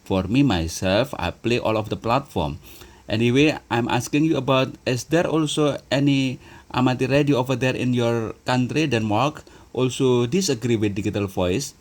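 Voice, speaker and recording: A man's voice: mid-range voice, normal speed. A man with an Indonesian accent speaking on amateur radio, formal and friendly, using radio sign-offs. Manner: formal radio etiquette, repeats "roger" and "my friend". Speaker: ham radio operator. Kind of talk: two-way radio chat.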